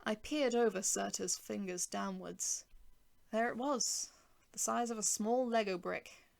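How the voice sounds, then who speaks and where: Female storyteller, mid-pitched, noticeable vocal fry, sardonic, first-person narration with dialogue. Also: unhurried. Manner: wry, sardonic. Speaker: writer and narrator.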